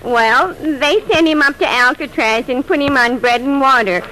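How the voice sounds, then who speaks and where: On a noisy vintage radio recording, a dim but cheeky housemaid in a 1940s sitcom. Her voice is high and bright, and she delivers wisecracks with breezy, guileless innocence. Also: moderate pace. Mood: guileless, chatty. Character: dim, cheeky female household maid, breezily literal-minded. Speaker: comic supporting actress.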